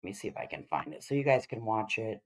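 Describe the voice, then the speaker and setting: A woman with a distinctly low voice hosts a livestream. She talks casually to her viewers and gives her opinions with emphasis. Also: sing-song, fast. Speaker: livestream host commenting on a court case.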